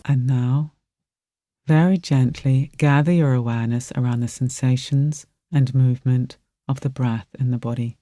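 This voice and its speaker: A mellow, warm voice leads a guided meditation. The delivery is gentle and soothing, with soft phrases given room to settle. The sound is dry and close, free of room echo. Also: medium pitch, fairly even intonation, normal speed.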